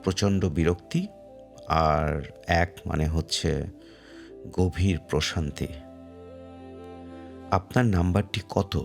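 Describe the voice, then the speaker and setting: Very slow, low-voiced man guiding relaxation. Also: steady tone, vocal fry. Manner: measured, pausing between short phrases. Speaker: guided relaxation narrator. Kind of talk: slow guided meditation narration. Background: faint music.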